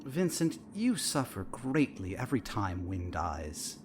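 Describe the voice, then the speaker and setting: Audio drama therapist, played by a man: measured, clinical, quietly sinister, very wide pitch swings, faint noise behind. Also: big pitch swings. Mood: coolly probing. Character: calm, probing, sinister male therapist, coolly manipulative.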